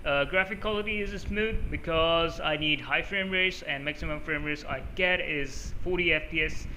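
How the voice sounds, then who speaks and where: Bright-voiced man, Urdu native, rambling tutorial. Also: a little high, moderate pace, slight background noise, rough recording. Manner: loose and rambling, many filler sounds. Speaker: mobile gaming channel host. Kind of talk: casual off-the-cuff settings walkthrough.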